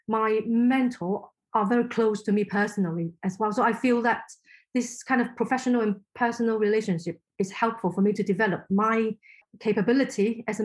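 A woman from Hong Kong whose first language is Chinese, answering interview questions thoughtfully with frequent restarts. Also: mid-range voice. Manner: thoughtful, halting, many restarts. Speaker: podcast guest.

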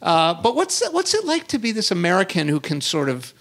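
A man's voice: high-pitched, huge pitch swings, fairly quick, gravelly, fairly bright tone. A middle-aged man interviewing a guest, asking curious, prompting questions in a casual conversation. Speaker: interviewer, cookbook co-author.

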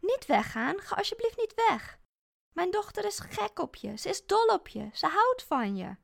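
Woman doing a shrill, desperate mother in an audiobook; gravelly, dry. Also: big pitch swings, moderate pace, no room sound, heavily acted. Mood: pleading. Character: frantic, unstable young mother clinging to her child. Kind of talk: audiobook character voice.

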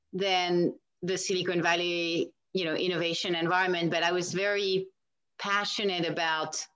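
French-accented woman, low, laughs often. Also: muffled recording. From France. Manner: warm and chatty, laughs often, many restarts. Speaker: guest. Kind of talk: casual interview answers.

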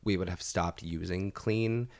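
A man with a congested voice co-hosting a podcast, chatting casually and gossiping with quick asides and bursts of laughter. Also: low-pitched, darkish tone. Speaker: podcast co-host. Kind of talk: casual chat.

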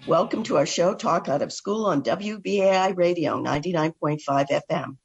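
A woman hosting a radio talk show and reading an education news recap, hoarse from a cold. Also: fairly low pitch. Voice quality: gravelly and husky.

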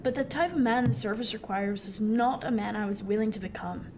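A woman's voice: on the high side, brisk, darkish tone, slight background noise, close to an everyday voice. A woman playing a seasoned male merchant sailor in a radio drama, wry and confiding, with old-fashioned nautical speech. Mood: frank, reassuring. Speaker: actor.

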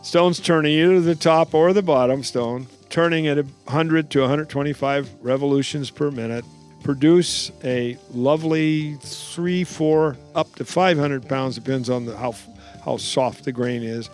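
A man's voice: fairly high pitch, unhurried, darkish tone, faint music behind. A man, a company founder in a radio ad, explaining his craft in a folksy way. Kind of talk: ad interview.

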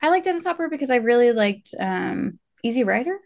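A woman's voice: fairly high pitch, big pitch swings, fairly quick, vocal fry. A woman hosting a podcast, bantering casually about films with self-deprecating enthusiasm. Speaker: podcast host.

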